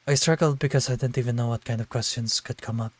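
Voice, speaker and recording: A man's voice: medium pitch, steady tone, fast. A man with a stuffy, congested nose reading a visual novel aloud on a stream and chatting casually between lines. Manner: casual, sighing asides. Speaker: VTuber streamer.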